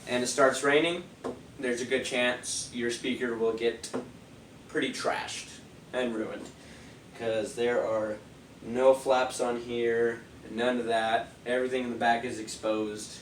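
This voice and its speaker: A man's voice: medium pitch, little pitch movement, takes it slowly, a bit bright, distant mic, a little hiss or hum. Sniffly man reviewing speakers, echoey room. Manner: rambling, loose, many fillers. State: stuffy nose, sniffly. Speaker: product reviewer. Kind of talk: casual product review.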